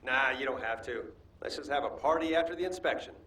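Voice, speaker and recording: An actor playing a reluctant but obliging chef in a video game, casual, expressive and given to griping. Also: male voice, mid-range voice, quick pace, slightly rough, low-quality recording. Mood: easygoing.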